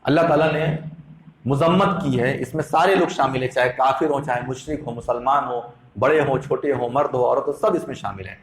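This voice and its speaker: A man's voice: medium pitch, fairly quick. A man preaching an Islamic sermon, emphatic and didactic, repeating phrases for effect. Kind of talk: Islamic sermon with Quran quotations. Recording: echoey room sound.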